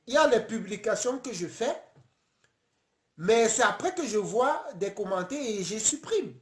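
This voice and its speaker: A man from Cameroon, hosting a casual late-night live stream, talking earnestly and passionately with many halting restarts. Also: sits high, expressive, echoey room.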